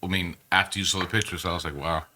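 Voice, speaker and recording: A man in his forties, born in Peru, a band member telling animated, profane stories in a casual interview. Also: low voice, quick pace, a little hiss or hum. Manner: animated, profane, rambling storyteller.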